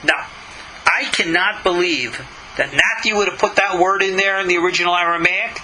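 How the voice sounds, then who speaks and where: Bright, high-voiced man teaching scripture emphatically. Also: normal speed, a little hiss or hum, rough recording. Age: middle-aged or older. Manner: emphatic, skeptical, argumentative, with rhetorical questions. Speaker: rabbi, religious teacher and commentator. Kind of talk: religious lesson, reading a text aloud with running commentary.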